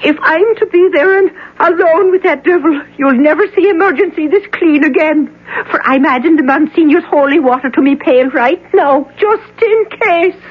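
A woman's voice: high voice, normal speed, a little hiss or hum, close to an everyday voice. A woman playing a fervent, superstitious old woman. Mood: wry. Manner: fervent, fearful exclamations. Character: pious superstitious older woman, fretful. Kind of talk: 1970s radio drama acting. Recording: dull old radio broadcast.

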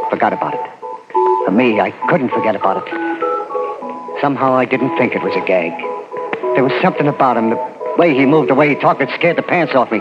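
A man acting in a 1940s radio drama as a frightened, slangy working-class carnival man who recounts his ordeal haltingly. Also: mid-range voice, lightly acted. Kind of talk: 1940s radio acting, first-person narration. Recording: muffled old 1940s radio recording.

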